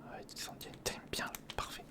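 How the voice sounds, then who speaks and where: A man whispering breathily in a casual ASMR commentary, murmuring step by step to the viewer as if to a friend. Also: normal speed. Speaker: ASMR video creator, host. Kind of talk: ASMR, casual running commentary while building a model. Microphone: close recorder microphone.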